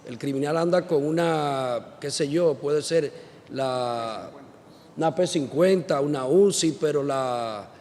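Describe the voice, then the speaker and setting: A man from the Dominican Republic speaks from the floor as a parliamentary delegate, building his point earnestly toward a pointed question. His voice sits somewhat high for a man. The sound carries a long hall reverb with faint background noise. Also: slow, a little mellow. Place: large conference hall.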